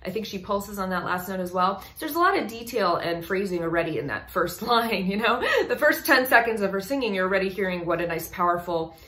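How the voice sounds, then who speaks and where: A woman vocal coach with a low speaking voice, giving an analytical, enthusiastic commentary on a song in a reaction video. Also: pushed and bright. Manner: analytical, enthusiastic, talks over paused song playback.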